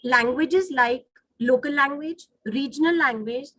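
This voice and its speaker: A woman's voice: high voice, unhurried, fairly bright tone, no room sound. A woman with an Indian accent, a native Hindi speaker, teaching an online lesson in a didactic way, rephrasing points and checking in with her listeners. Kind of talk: online lesson, explaining to students. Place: online video call.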